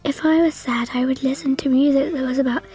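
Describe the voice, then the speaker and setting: Small girl narrating a radio play, high voice, literal-minded, hopeful, simple childlike telling. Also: steady tone, fast, warm tone, breathy edge, faint music behind. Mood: wistful. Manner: simple, artless, matter-of-fact childlike telling. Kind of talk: first-person radio drama narration.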